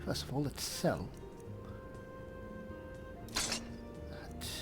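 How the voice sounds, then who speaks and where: A man voicing a cool, dry high elf spellsword, musing formally as he roleplays through a fantasy game. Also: over music. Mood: pensive, muttering. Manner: measured, formal, thinking aloud.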